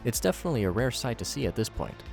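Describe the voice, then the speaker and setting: A man's voice: medium pitch, big pitch swings, racing, gravelly, over music. A man narrating a scripted recap with commentary, adding wry, joking asides.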